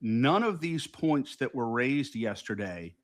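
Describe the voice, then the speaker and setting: A man's voice: mid-range voice, animated, takes it slowly. A man, co-hosting a political talk show, talking emphatically and indignantly with frequent restarts. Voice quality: loud voice. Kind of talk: casual political discussion.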